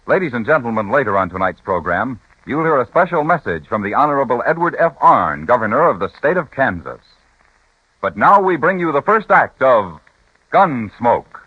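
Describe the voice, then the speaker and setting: A man's voice: medium pitch, sing-song, normal speed, slightly rough, no room sound. Formal vintage radio announcer, man. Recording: dull old radio broadcast with music.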